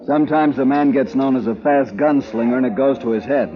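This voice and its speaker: An actor playing an arrogant cattle rancher in a 1950s radio western, curt and challenging. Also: male voice, medium pitch, a little fast, a crowd in the background, lightly acted. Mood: defiant, taunting. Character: defiant, arrogant cattle rancher boss of the Old West. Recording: dull vintage radio recording, music behind.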